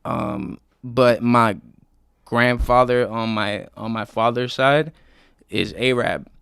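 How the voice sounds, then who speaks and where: Young man, interview guest, easygoing slang-heavy talk, smooth resonant tone. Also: mid-range voice, slow, fairly bright tone, no echo, studio quality. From Colombia. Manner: laid-back slangy storytelling, many fillers.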